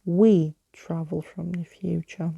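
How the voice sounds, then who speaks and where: A woman's voice: lowish voice, fairly even intonation, slow, vocal fry, mellow, slightly rough, dry recording, muffled recording, heavily acted. A woman voicing a grumpy, impatient young girl in a storybook, snappy and scornful. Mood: irritated.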